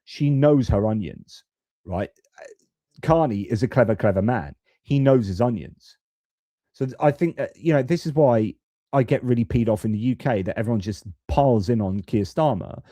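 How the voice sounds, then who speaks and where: A man's voice: mid-range voice, expressive, normal speed, dark tone, no room sound. A British man hosting a political live stream, talking off the cuff in an informal, opinionated, chatty way. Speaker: host of a geopolitics live stream. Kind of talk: casual political commentary.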